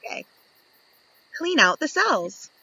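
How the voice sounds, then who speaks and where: A woman reading a picture book aloud to young children, expressive and instructive, putting questions to her listeners and answering them. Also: sits high, slow, poor audio.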